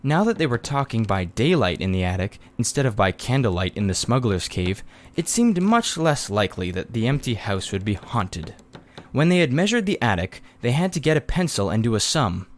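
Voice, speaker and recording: A male audiobook reader narrates a children's story. His voice is medium in pitch, and the delivery is that of scripted storybook narration.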